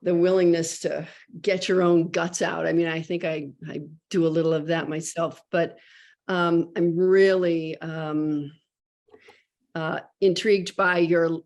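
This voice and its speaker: A woman joins a video call to ask an artist a question, speaking hesitantly with plenty of pauses and restarts. Her voice is somewhat low-pitched. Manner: hesitant, searching for words. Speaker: audience participant.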